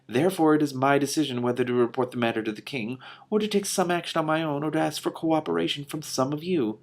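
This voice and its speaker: A man voicing a composed, decisive female dragon in command, measured and firm, in a storybook read-aloud. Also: a little high, voice pushed up, natural voice.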